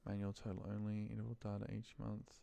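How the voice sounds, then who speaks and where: A man with a deep voice and a gravelly fry walks someone through office work on his screen. He thinks aloud casually and haltingly, half muttering to himself as he goes. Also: monotone, dark tone. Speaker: trainer demonstrating office data work. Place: voice-over of a screen recording.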